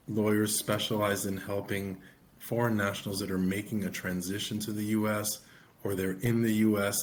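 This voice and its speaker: Male co-host, middle-aged lawyer, steady and explanatory, flat low delivery. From United States. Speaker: immigration attorney and podcast co-host. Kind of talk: podcast conversation.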